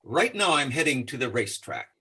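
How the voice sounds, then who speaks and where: An actor playing an affable, oblivious bachelor jockey who drops in as the neighbor in an old-time radio comedy. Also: male voice, mid-range voice, sing-song, brisk, sharp tone, breathy edge, heavily acted. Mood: breezy, affable.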